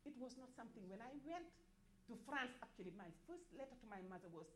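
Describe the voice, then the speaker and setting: Passionate Senegalese woman, halting delivery. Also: a little high, expressive, a bit bright. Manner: halting, many restarts and repeated words, rising in force on key points. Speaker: activist speaker at a development forum. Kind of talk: impassioned panel speech.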